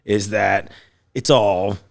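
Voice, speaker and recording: A man's voice: lowish voice, steady tone, takes it slowly, creaky. A man hosting a food podcast in casual, upbeat chat, laughing often. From United States. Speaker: podcast host.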